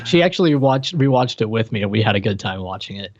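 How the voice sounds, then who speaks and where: A man's voice: lowish voice, animated, fast, a little mellow, dry recording. A man co-hosting a casual podcast, chatting informally with dry, sarcastic jokes. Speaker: podcast co-host. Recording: dry, slightly muffled microphone.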